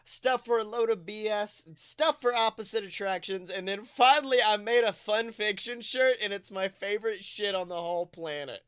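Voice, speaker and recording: A man with a high, bright and clear voice co-hosts a comedic podcast. He talks casually, tells stories in an animated style and swears without restraint. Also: moderate pace, few pauses, no echo, studio quality. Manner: animated storytelling, acts out quotes, swears freely. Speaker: podcast co-host.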